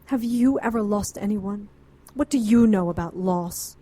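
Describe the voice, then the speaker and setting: A woman's voice: mid-range voice, unhurried, dark tone, close to an everyday voice. Audio drama: woman playing grieving, headstrong occult doctor, medium register, earnest, defiant, urgent. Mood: defensive, anguished. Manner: earnest, indignant, intense.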